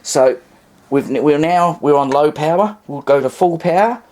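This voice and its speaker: A man's voice: a little high, measured pace, a little mellow. A man with a New Zealand accent giving a casual, rambling workbench demonstration with wry humour. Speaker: hobbyist presenter.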